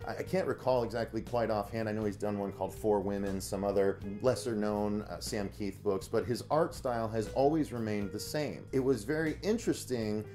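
A man's voice: on the low side, fairly quick, hardly any pauses, dark tone, soft background music. A man in his thirties with an American accent, co-hosting a conversational comic book review. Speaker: review show co-host. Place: small indoor room lined with comic shelves.

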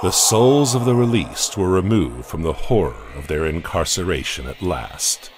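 A man narrating a horror audio comic in a dramatic, measured storytelling manner. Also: low-pitched, lively intonation, noisy background. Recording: music bed underneath.

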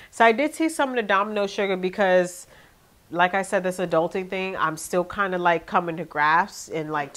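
A young woman with a nasal, congested voice chatting to her viewers about her shopping haul in her living room. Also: on the low side. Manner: chatty, animated, talks to viewers directly. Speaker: vlogger. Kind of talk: casual haul video chat.

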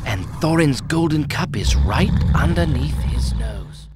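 A man's voice: on the high side, sing-song, a little slow, very noisy. A man playing a small, polite, nervous but plucky hobbit burglar, earnest and theatrical, in a video game. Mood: anxious resolve. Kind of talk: video game character acting. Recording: loud music under the voice.

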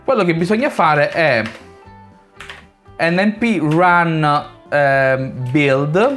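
A man's voice: fairly high pitch, very slow, a bit bright. A man in his thirties giving a casual, informal coding tutorial as a channel host, explaining step by step. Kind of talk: casual screencast tutorial narration. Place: small home room, indoors. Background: faint background music.

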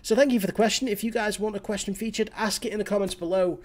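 A man's voice: sits high, steady tone, fast talker, a little mellow. A young man with a slightly scratchy voice from a cold, giving opinionated reaction commentary to camera over a headset mic in a small home room. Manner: opinionated, talks straight to camera with fillers. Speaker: reaction channel host.

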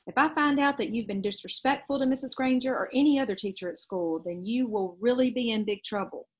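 An outspoken storybook mom read by a woman stands her ground, protective and firm. Her voice is slightly high with a husky edge. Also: brisk. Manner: firm, questioning. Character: outspoken, protective mother, annoyed by fuss.

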